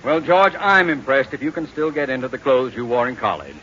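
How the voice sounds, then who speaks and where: Sharp, blustery American boss. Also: male voice, mid-range voice, lively intonation, brisk. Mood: wry. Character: wry, blustery middle-aged bank boss and husband. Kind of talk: 1940s-50s radio comedy acting. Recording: muffled, slightly noisy old radio recording.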